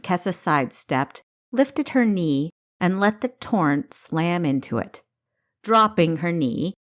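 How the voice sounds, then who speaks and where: A woman with a slightly low voice narrates an action-driven audiobook. She reads in a dramatic, deliberate manner, enunciating each phrase with care. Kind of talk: scripted narration of an action story.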